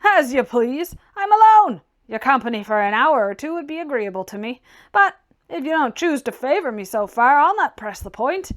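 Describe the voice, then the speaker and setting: A woman acts out a brash, teasing bachelor gentleman from a classic novel. The delivery is theatrical and mocking, with emphatic, high-pitched swells. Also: big pitch swings, moderate pace. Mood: offhand. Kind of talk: audiobook character dialogue.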